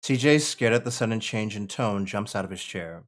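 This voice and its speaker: A man's voice: lowish voice, normal speed. A man narrating stage directions as part of a script table read.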